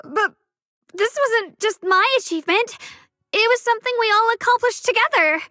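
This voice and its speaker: Kind young game heroine: sky-high bright voice, earnest, humble, slightly hesitant. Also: female voice, moderate pace, no echo, studio quality. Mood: nervous, humble. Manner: sincere, hesitant, eager. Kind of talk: anime-style game dialogue.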